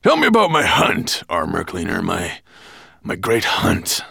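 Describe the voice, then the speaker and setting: A man's voice: low voice, huge pitch swings, measured pace, gravelly, sharp tone, husky, no echo, clean studio sound, heavily acted. A man voicing a huge, vain, irritable male golden dragon with a growling, snarling voice and an imperious, insulting manner, in a storybook reading.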